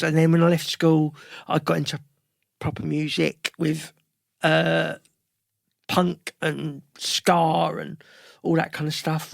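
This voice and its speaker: A middle-aged man with a London accent talks casually as an interview guest, wandering through anecdotes. His voice sits a little high for a man and has a creaky, gravelly edge. The recording is close and dry. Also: dry recording. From London, England. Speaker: guest musician.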